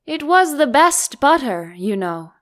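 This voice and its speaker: A woman acts as a contrary hare from a storybook tea party. He talks in an unhurried, animated way, picking at words like a pedant. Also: high voice, voice pushed up, full character voice. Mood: meek.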